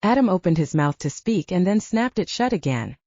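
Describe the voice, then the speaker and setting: A woman narrates a story in a low, husky voice, her pitch moving in lively, wide swings. She reads scripted fiction as an audiobook narrator. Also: a little fast, dry recording, lo-fi sound.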